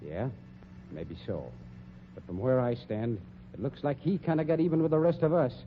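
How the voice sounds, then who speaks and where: A man's voice: mid-range voice, normal speed, a little hiss or hum, lightly acted. An actor playing a crooked, defensive circus sideshow boss with slangy tough-guy talk, in 1940s radio mystery style on a muffled old recording. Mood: sardonic.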